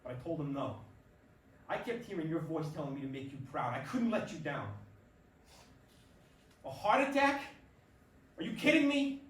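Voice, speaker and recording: A man's voice: fairly high pitch, very animated, normal speed, pauses often. Man acting an earnest, grieving ballplayer, distant and roomy. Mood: angry grief. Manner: pleading, earnest. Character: Joey, earnest ballplayer, expectant father grieving his dying dad. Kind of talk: staged play reading, emotional dialogue. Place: theatre stage at a staged reading. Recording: roomy stage recording, distant mic, faint noise.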